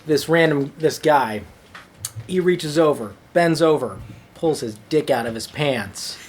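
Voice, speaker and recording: A man reading a comic story aloud at a live show, with deadpan asides. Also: on the high side, a little slow, slight background noise. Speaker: comedy troupe storyteller reading own story. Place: live show before a laughing audience.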